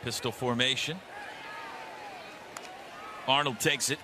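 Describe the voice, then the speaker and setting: Play-by-play man over loud stadium noise. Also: medium pitch, steady tone, takes it slowly. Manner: short clipped phrases following the action. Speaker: radio play-by-play announcer. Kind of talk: live American football play-by-play commentary. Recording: very noisy stadium broadcast. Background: loud stadium ambience.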